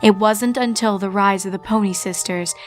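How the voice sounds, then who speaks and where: A woman reading a dark story aloud in a steady, solemn voice at a middling pitch. Faint music plays under her narration. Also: moderate pace.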